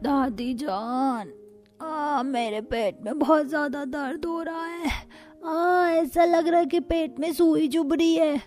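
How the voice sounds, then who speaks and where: A woman's voice: high-pitched, takes it slowly, a little mellow, faint music behind. A woman voicing a poor, plaintive young child in a cartoon story. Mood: in pain. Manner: plaintive, complaining. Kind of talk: Hindi cartoon story voice acting.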